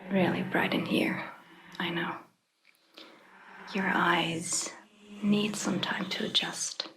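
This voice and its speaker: A woman speaking in a breathy, gentle ASMR roleplay style as a caring, reassuring vampire doctor, with hesitant pauses. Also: medium pitch, poor audio. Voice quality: breathy, whispery. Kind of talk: soft-spoken ASMR roleplay.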